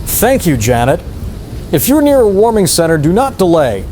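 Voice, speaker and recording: A man playing a calm, formal news anchor reading an emergency bulletin in a radio drama. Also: high voice, a little hiss or hum. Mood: grave, official. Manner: formal, measured.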